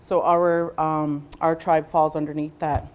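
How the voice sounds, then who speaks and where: A young adult woman from Southwestern Ontario leading a workshop, speaking loudly to a room without a microphone and often pausing on "um" and "uh". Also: sits low, flat delivery. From Southwestern Ontario, Canada. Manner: loud voice, unamplified, frequent ums and uhs. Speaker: workshop facilitator, nurse navigator. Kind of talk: presentation to a workshop audience.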